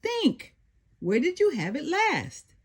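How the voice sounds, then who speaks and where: A woman voicing a brisk, excitable father in a children's storybook, exclaiming and giving instructions. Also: high voice, voice pushed up, heavily acted. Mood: brisk, steadying.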